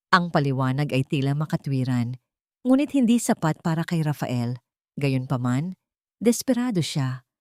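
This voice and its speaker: A woman's voice: low-pitched, no echo, clean studio sound. A woman reading a scripted dramatic story as its narrator.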